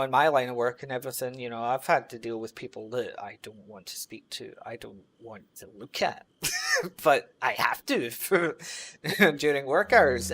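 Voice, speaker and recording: A man's voice: medium pitch, a bit bright. A man streaming a game and chatting loosely with his live audience, rambling with lots of filler words. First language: English. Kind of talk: casual chat with a live audience while gaming.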